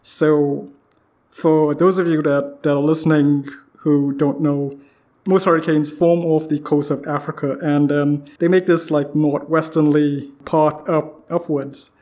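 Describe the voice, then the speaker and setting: The host of a laid-back interview podcast, a man with a lightly raised pitch, chatting informally with his guest. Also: little pitch movement, unhurried, darkish tone. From Trinidad. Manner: informal, peppered with "you know". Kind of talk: casual conversational interview.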